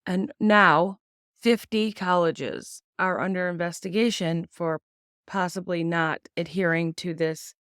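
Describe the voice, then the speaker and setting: Blunt, raspy-voiced woman. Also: lowish voice, steady tone, unhurried, a bit bright, no echo, clean studio sound. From United States. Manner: blunt, swears freely, many ums. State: sick and tired, a bit raspy. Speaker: podcast host. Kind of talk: casual opinion commentary on news.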